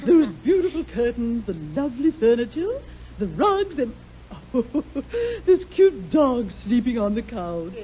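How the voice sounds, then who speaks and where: An actress playing a gushing, flighty schoolteacher in a 1950 radio sitcom, fluttery and dotty. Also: female voice, fairly high pitch, sing-song, measured pace, slight background noise. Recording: dull old radio broadcast.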